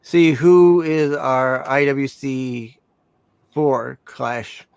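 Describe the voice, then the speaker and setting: A man chatting casually on a wrestling fan panel in a halting, easygoing way, often repeating himself. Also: mid-range voice, vocal fry, darkish tone, smooth, dry recording. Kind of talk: casual chat.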